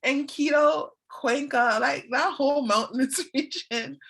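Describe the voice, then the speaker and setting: A woman's voice: sits high, measured pace, a bit bright. A young woman, chatting as a guest in a casual interview, laughing often and acting out her own quotes. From Canada.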